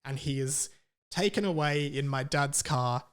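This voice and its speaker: A man's voice: medium pitch, measured pace, smooth. Man telling a comic story, podcast style. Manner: casual swearing. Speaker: podcast host. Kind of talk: casual comedic storytelling.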